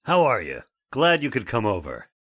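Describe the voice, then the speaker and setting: Man playing a brash American father, very animated. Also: medium pitch, normal speed. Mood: brash, genial. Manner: blunt, bossy, folksy. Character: brash, wealthy middle-aged American father, blunt and impatient. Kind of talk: old-time radio drama acting.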